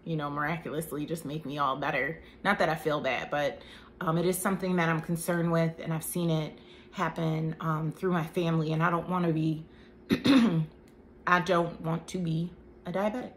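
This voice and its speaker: A woman's voice: low-pitched, flat delivery, normal speed, slight background noise. A woman in her thirties giving a casual health talk to camera, chatty, with joking asides. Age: 30s. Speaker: vlogger.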